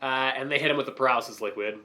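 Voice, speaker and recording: Bright-voiced man with a cold. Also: mid-range voice, racing. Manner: joking, recaps plots in a loose rambling way. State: recovering from a cold, voice cracking. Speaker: podcast co-host. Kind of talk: casual comic-book chat.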